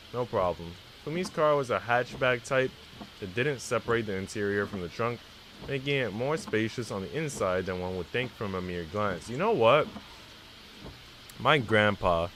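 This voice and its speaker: A man's voice: on the low side, fairly bright tone, slight background noise, rough recording. A man live-streaming, reading a visual novel aloud and chatting casually with viewers in a slangy, teasing way.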